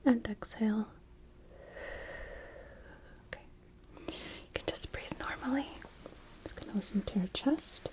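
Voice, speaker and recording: Whispering woman, soft ASMR nurse. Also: a little high. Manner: gentle, unhurried, reassuring. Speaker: ASMR performer role-playing a night nurse. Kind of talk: whispered ASMR medical exam roleplay.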